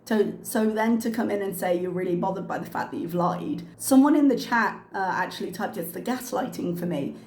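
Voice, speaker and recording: A woman's voice: medium pitch, brisk, few pauses, a little mellow. A woman hosting a reaction commentary channel, talking frankly with frequent ums, her voice a little worn from a cough. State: slightly losing her voice from a cough. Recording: echoey room with faint ambience.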